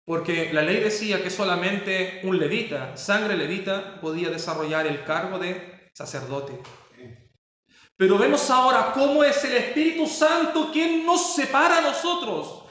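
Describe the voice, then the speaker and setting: Male pastor, high voice, emphatic sermon delivery, heavy room echo. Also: expressive, a little slow, a bit bright. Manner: preaching with rising emphasis and rhetorical questions.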